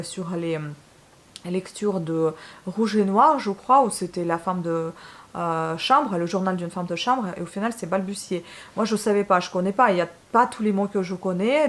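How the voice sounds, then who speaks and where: A woman with a Ukrainian accent speaks French in a casual vlog, addressing her viewers directly. She hesitates often, restarting sentences and filling gaps with "euh", and her tone is frank and a bit fed up. Her voice sits at a middle pitch for a woman. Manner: hesitant, many fillers and restarts, frank and exasperated. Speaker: booktube channel host.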